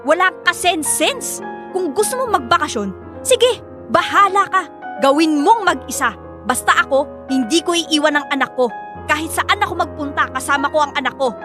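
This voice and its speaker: A woman's voice: high-pitched, animated, moderate pace. A woman acting a protective, stubborn young wife and mother with a Filipino accent in a radio drama. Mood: defensive, annoyed. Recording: studio drama over background music.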